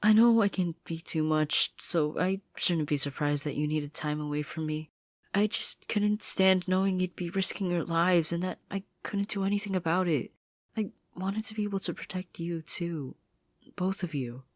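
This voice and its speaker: A woman voicing an anxious, apologetic teenage girl in a story reading, hesitant and pleading, often dropping to a breathy near-whisper. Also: on the low side, normal speed, dry recording, voice pushed up, natural voice. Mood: earnest, apologetic.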